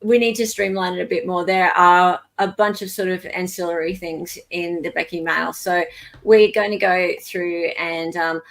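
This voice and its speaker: A woman's voice: on the low side, steady tone, moderate pace, gravelly. A woman hosting a casual livestream chat, talking in an informal, emphatic way. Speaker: livestream host.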